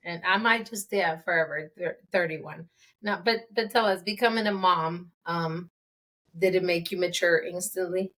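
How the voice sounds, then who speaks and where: A woman's voice: lowish voice, moderate pace, sharp tone. A woman hosting a casual podcast, asking direct questions in a chatty, candid way. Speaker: podcast host.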